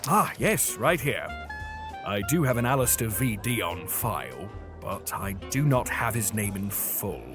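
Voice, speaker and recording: An actor playing a fussy, chatty British camera shop clerk in a scripted audio drama, with formal shopkeeper patter. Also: male voice, lowish voice, sing-song, measured pace. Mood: muttering, exasperated. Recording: music behind the voice.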